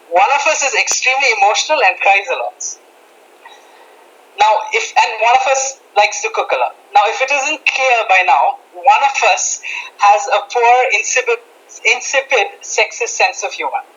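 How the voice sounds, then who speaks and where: A man's voice: high-pitched, lively intonation, moderate pace, sharp tone, highs cut off. A man from India, hosting a casual podcast chat and poking fun at himself. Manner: talkative, self-mocking, many restarts. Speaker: podcast host.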